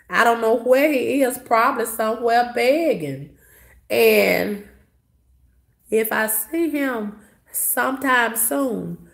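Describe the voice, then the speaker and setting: A hoarse woman with a cough, telling a story to her viewers in a blunt, profane, dismissive way. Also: on the high side. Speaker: vlogger.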